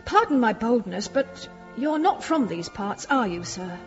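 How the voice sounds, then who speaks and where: Pious housekeeper, flustered politeness, very lively swoops, period radio drama, reverberant church, faint music. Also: female voice, a little high, unhurried. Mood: chatty, deferential. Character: fussy, deferential, pious English church housekeeper. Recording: reverberant church, slightly dull sound.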